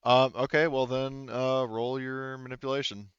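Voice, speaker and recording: A man running a tabletop role-playing game, narrating casually as game master in a smooth, clear voice. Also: mid-range voice, flat delivery, normal speed, dry recording, lo-fi sound.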